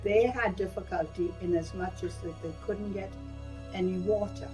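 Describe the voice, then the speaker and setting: A woman's voice: on the low side, steady tone, normal speed, over music, low-quality recording. An older woman from Burma giving a personal reminiscence in a plain-spoken, emphatic way. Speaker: interviewee recalling wartime life.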